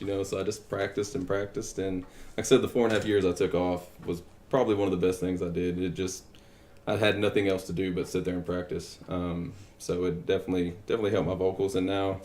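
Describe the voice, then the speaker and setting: Young man from Texas, deep low voice, humble guest chatting loosely. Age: 20s. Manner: relaxed and modest, many fillers, laughs easily. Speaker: guest musician. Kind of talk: casual interview chat.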